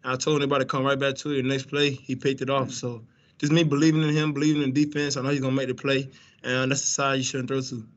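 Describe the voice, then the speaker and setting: A man's voice: mid-range voice, little pitch movement, fast talker, lo-fi sound. A young man, a college quarterback, answering post-game press questions in a laid-back way with lots of fillers and restarts, over a video call. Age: young adult. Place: indoor press room, branded backdrop. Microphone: video call, webcam.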